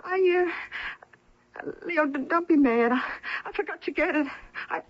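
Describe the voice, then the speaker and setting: High-voiced, submissive gangster's girlfriend. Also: measured pace. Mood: frightened, placating. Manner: pleading, hesitant, stammering. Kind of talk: 1980 radio drama acting. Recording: muffled old radio broadcast.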